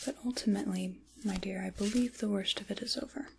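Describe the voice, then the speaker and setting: A woman playing a kindly, caring witch in a soft, intimate ASMR tarot roleplay, largely whispered, with a gentle, reassuring manner. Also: medium pitch, fairly even intonation, quick pace, vocal fry, slight background noise.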